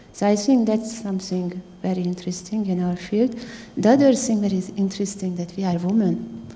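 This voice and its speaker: A woman in her fifties with a low voice, a scientist speaking earnestly on a panel with slightly halting phrasing, holding a handheld mic. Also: warm tone, long reverb tail, a little hiss or hum. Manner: earnest, proud, halting non-native phrasing. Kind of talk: panel discussion. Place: theater stage at a panel event.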